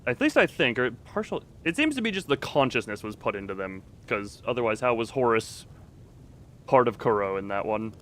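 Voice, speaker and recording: A man's voice: medium pitch, big pitch swings, moderate pace, fairly bright tone, a little hiss or hum. A man livestreaming with casual chat, muttering and thinking aloud in a distracted way. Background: background music.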